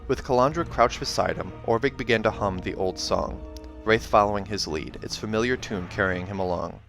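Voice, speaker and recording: A man's voice: fairly low pitch, fairly quick, vocal fry, fairly bright tone. Man reading a fantasy novel over music. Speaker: reader and commentator. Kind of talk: expressive audiobook narration with casual asides.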